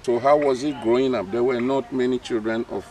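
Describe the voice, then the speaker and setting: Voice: mid-range voice, steady tone, brisk, mellow, far from the mic. Interviewer speaking from off the mic. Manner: frequent backchannel murmurs. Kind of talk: interview questions. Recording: off-mic, with faint noise.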